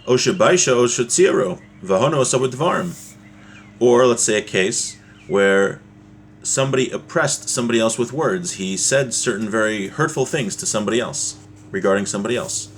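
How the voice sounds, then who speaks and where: A man's voice: mid-range voice, moderate pace, a little hiss or hum. A man giving an informal religious lesson as a teacher, explaining a text with everyday examples.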